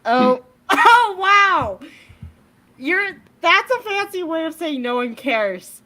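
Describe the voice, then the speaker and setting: A woman's voice: extremely high pitch, sing-song, slow pace, sharp tone. A woman on a casual online panel chat who giggles and laughs often. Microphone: online call studio.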